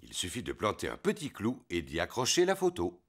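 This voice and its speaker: A man's voice: fairly low pitch, wildly swooping pitch, brisk, gravelly, background noise, full character voice. A man voicing a cheerful, overconfident, bumbling father pig in a French children's cartoon, boastful and jovial. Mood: confident, boastful. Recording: music behind the voice.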